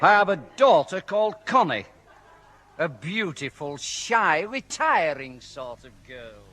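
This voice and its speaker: Fussy middle-aged father: high, swooping delivery, formal and flustered, in a reverberant old radio comedy. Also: sing-song, slow, bright, forceful tone, heavily acted. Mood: earnest. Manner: hesitant, formal, exclamatory. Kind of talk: 1960s radio sitcom acting. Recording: old radio broadcast, long hall reverb.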